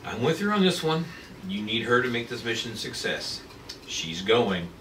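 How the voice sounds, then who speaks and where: A man's voice: medium pitch, very animated, normal speed, lightly acted. A man reading the part of a firm but fair government secretary in a retro space adventure table read. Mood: decisive. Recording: echoey room, distant mic, clear noise.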